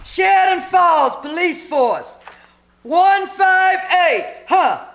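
A woman's voice: very high-pitched, animated, very slow, vocal fry, full character voice. A woman playing a brazen, scatterbrained housewife who bluffs theatrically in an old-time radio comedy.

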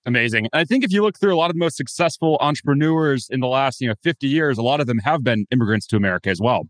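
A man hosting a podcast, reading a brisk scripted intro and then chatting in an upbeat way with his guest. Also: mid-range voice, lively intonation, no echo, clean studio sound. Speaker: podcast host.

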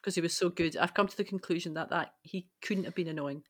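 A woman's voice: on the low side, fairly even intonation, fast, dry recording, studio quality. A woman co-hosting a podcast, talking casually and reflectively in discussion. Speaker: podcast co-host.

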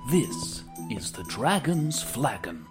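Casting: ceremonious robot host for sci-fi radio drama, slow and expressive. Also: male voice, mid-range voice, music in the background. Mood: formal welcome. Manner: formal, archaic, scripted greetings. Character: courteous robot innkeeper guide at fantasy resort. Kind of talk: sci-fi audio drama acting.

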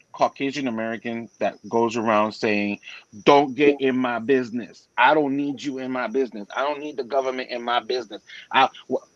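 A man talking earnestly in a casual group chat, pausing and restarting often. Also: mid-range voice, dry recording, highs cut off. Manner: halting, many restarts, earnest. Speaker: guest.

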